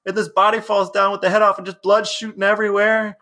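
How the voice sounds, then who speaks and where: A man co-hosting a podcast in casual banter, emphatic but halting, often repeating himself. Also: high-pitched, flat delivery, sharp tone. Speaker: podcast co-host.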